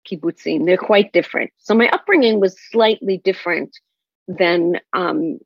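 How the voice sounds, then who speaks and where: An older woman from Israel, telling her personal story as an interview guest, phrasing things deliberately and emphatically. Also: lowish voice, dry recording, highs cut off. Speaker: guest, spiritual counselor.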